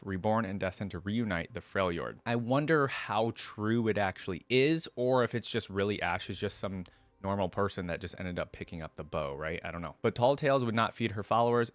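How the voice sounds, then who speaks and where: A man's voice: lowish voice, normal speed, no room sound. A man hosting a reaction video, talking casually and thinking aloud as he comments and reads text out.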